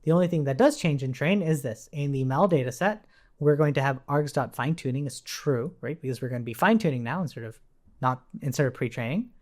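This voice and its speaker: A man's voice: mid-range voice, lively intonation, fairly quick. A man giving an informal coding tutorial as an instructor, thinking aloud conversationally and often checking in with the listener. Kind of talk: informal coding tutorial, explaining while demonstrating on screen.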